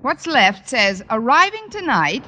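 A woman's voice: a little high, sing-song, unhurried, sharp tone, heavily acted. An actress playing a wry female schoolteacher in an old-time radio sitcom, with dry, sardonic delivery. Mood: brisk, earnest. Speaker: lead actress in a radio comedy. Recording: noisy vintage radio recording, studio audience.

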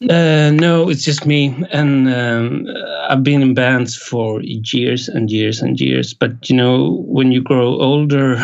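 A Swedish-accented man in middle age talks slowly in a relaxed interview, his voice gravelly with vocal fry. He pauses often on fillers and chuckles between thoughts. The recording sounds dull and lo-fi. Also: medium pitch. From Sweden. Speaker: independent musician guest. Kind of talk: casual interview chat.

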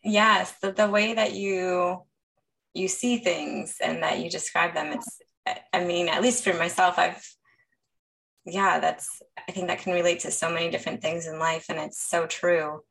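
Woman host, low gravelly voice, stop-start admiring questions. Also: steady tone, slight background noise, rough recording. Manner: warm, admiring, halting questions. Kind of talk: casual interview.

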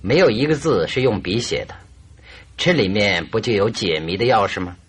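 A man's voice: fairly low pitch, expressive, moderate pace, slightly rough. A man acting in a radio drama as a confident, teasing detective who speaks with composure and likes to hold things back. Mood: probing, assured. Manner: composed, teasing, withholding, with knowing chuckles.

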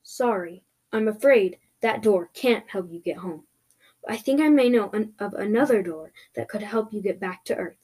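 A woman's voice: medium pitch, expressive, moderate pace, warm tone, husky. A woman voicing a helpful, polite gorilla-horse creature in a fantasy story. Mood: reassuring. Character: helpful gorilla-horse centaur creature, calm, apologetic rescuer.

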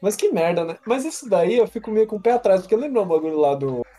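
Young Brazilian man, dark mellow voice. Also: high voice, fast, soft background music. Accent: Brazilian Portuguese. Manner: loose, profane, animated storytelling. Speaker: podcast co-host. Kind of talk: casual chat.

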